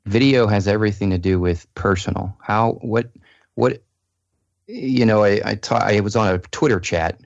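A man's voice: on the low side, normal speed, creaky, dry recording, highs cut off. A man, a podcast guest, talking earnestly and explaining his points with plenty of "you know"s. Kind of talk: casual podcast chat.

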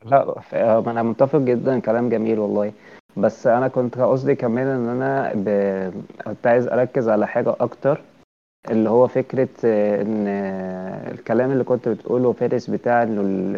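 An Egyptian man talks fast in a casual group chat. He often pauses mid-sentence to find his words, and he ends by putting his point as a question. The sound is dull and lo-fi. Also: on the low side, creaky. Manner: hesitant, searches for words, poses questions. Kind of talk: casual discussion.

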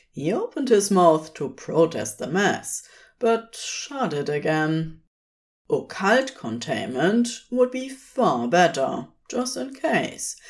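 A woman narrating scripted fiction expressively, as for an audiobook. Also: on the low side.

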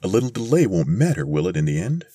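Slippery storybook showman, voiced by a male narrator. Placating, theatrical patter, lifted pitch with some rasp. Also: sing-song, quick pace, warm tone, no echo, clean studio sound, exaggerated voice. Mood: evasive, soothing. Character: slippery, placating showman stalling his angry customers.

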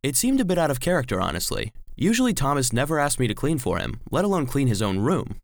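A man playing an earnest, anxious robot vacuum cleaner who narrates a children's audio drama in an expressive storytelling manner. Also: mid-range voice, fast, no echo, studio quality. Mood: puzzled.

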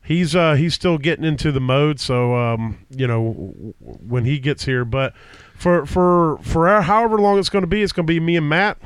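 A man's voice: a little high, moderate pace, hardly any pauses, clear, resonant tone, no room sound. Stuffy-nosed man rambling through podcast intros. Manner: rambling with frequent fillers and restarts. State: stuffy nose from a cold. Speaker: podcast host. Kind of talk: casual chat. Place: home basement. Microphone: podcast microphone.

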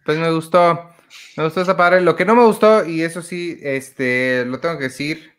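A man's voice: a little high, normal speed, smooth. A man hosting a podcast, chatting casually about films with friends and using lots of fillers. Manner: relaxed, chatty, filler-heavy. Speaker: podcast host. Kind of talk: casual film review chat.

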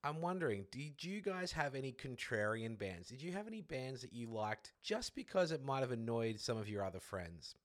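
A man leaving a recorded voice message for a podcast, talking in a measured, reflective way. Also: medium pitch, very animated.

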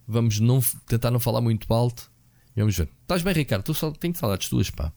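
A hoarse man with a European Portuguese accent, co-hosting a podcast in a relaxed, rambling chat full of fillers and restarts. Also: mid-range voice, quick pace, no room sound. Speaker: podcast co-host. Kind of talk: casual chat about video games.

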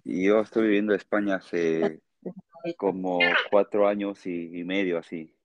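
A man with a Peruvian accent answering interview questions conversationally as a guest, explaining in a halting way and often checking with a short tag question. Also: deep voice, steady tone, a little mellow, no echo, dull audio. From Peru. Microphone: remote call.

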